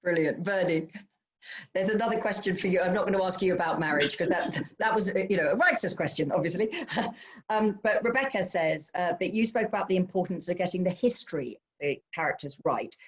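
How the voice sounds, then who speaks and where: Woman chairing, slightly low voice. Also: fairly quick. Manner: chatty, reading out audience questions. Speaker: host chairing an author question session. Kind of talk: relaxed literary panel moderating.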